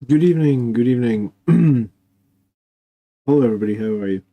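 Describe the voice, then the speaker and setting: A man hosting a live stream in casual chat, quiet because he is losing his voice, rambling with fillers and restarts. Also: mid-range voice, fairly quick. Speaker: host of a live army list show.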